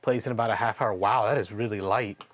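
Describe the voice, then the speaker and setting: A man's voice: on the low side, fairly even intonation, fast. A man chatting casually while playing a board game on a stream, reading out and answering viewer comments. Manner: reads out viewer comments and chats back. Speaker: board game player and host of a live play stream. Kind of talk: casual chat during board game play.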